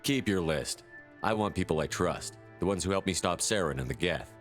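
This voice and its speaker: An actor playing a resolute, blunt space marine commander in a sci-fi game, speaking firmly and directly. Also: male voice, low voice, lively intonation, normal speed, fairly bright tone, soft background music. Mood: determined, questioning. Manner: firm, direct. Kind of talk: cinematic sci-fi game dialogue.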